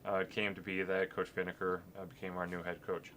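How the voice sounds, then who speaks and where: A man hosts a relaxed interview in a very low, flat voice with a crisp brightness. He talks loosely, with many fillers. Faint background noise sits under him. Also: a little fast. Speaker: athletics media host. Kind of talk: casual sit-down interview.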